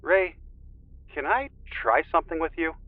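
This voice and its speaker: A man acting in an audio drama as an earnest spiritual friend, coaxing and deliberate, who guides meditation. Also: fairly high pitch, animated, no room sound, phone-quality sound.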